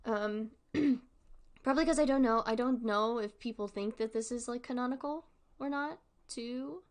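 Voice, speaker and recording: A woman's voice: on the high side, normal speed. A woman streamer giving casual livestream commentary in an informal, rambling, giggly way.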